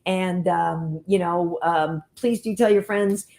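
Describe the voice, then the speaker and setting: A woman's voice: lowish voice, fairly even intonation, slow pace, a little mellow. Easygoing woman hosting a chat show. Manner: upbeat, easygoing, laughs often. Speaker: host. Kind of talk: casual chat, show sign-off.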